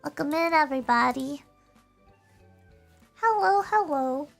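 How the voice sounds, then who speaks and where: High, bright-voiced bubbly woman streamer. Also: expressive, a little slow, vocal fry, soft background music. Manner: bubbly, giggly, repeats phrases. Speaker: VTuber livestreamer. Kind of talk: casual chatty livestream, talking to chat.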